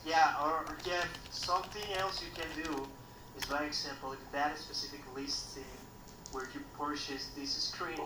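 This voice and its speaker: A man's voice: fairly high pitch, fairly even intonation, moderate pace, background noise. A man on a live stream casually suggesting where to find drivers, offering alternatives. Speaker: guest giving advice on stream. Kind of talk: casual tech advice. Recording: echoey room, distant mic.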